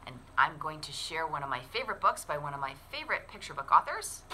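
Animated woman reader with a bright voice. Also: low voice, slight background noise. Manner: expressive, deliberate storytelling delivery. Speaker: library storyteller reading a picture book. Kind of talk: animated children's read-aloud.

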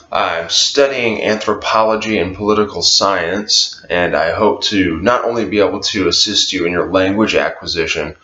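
A young man introduces himself as a tutor, earnest and a little halting, with plenty of ums and uhs. His voice sits very low. The webcam audio is dull and lo-fi, with echo from a bare bedroom. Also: sharp tone. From Atlanta, Georgia. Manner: earnest, halting with frequent fillers. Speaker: online English tutor. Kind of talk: self-introduction to camera. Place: small bedroom, indoors. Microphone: webcam at desk.

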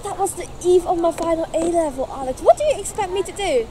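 A young woman acting a hurt, defensive former songwriting partner in a live play, speaking haltingly and emotionally. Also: very high-pitched, animated, mellow. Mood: pleading, upset. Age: young adult. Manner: halting, emotional, repeating herself. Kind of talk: live theatre dialogue. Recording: very noisy outdoor location recording.

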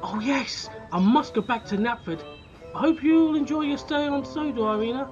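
A woman's voice: high-pitched, lively intonation, moderate pace, a little mellow, dull audio. A woman voicing a cheerful young male tank engine who talks eagerly and chattily, with music underneath.

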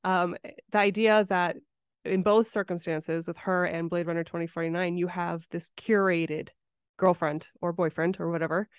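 A woman's voice: on the low side, fairly even intonation, normal speed, clear, resonant tone, no room sound, clean studio sound. A woman hosting a podcast, discussing casually and thoughtfully. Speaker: podcast host.

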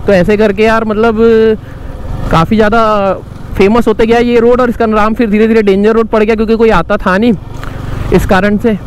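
A man's voice: high voice, little pitch movement, moderate pace. Chatty young man riding, traffic noise. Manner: chatty, rambling, friendly asides to viewers. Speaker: motovlogger. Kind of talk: casual riding commentary. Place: on a motorcycle riding outdoors on roads. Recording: wind and traffic noise outdoors.

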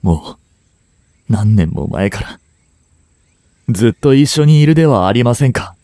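A man in his twenties acting a proud, smooth-talking young prince exposed as a spy, with formal, pleading delivery in a dramatic voice drama. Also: medium pitch, expressive, unhurried, dark tone, no room sound, studio quality, natural voice. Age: 20s. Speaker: amateur voice actor and voice drama creator.

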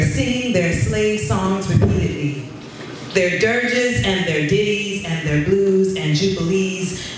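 A woman poet in her thirties reciting at a live reading in a measured, emphatic, incantatory cadence. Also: mid-range voice. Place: indoor gallery space with seated audience. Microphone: stand microphone, recorded from camera at a distance. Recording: echoey room, distant mic, background noise.

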